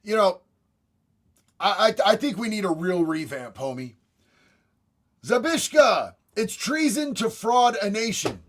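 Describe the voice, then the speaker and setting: A raspy young man talks off the cuff on his livestream, blunt and profane, tossing out bro and homie. His voice sits high for a man. He answers chat messages one after another. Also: sing-song, a little slow, pauses often, a bit bright. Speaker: livestream host reading chat.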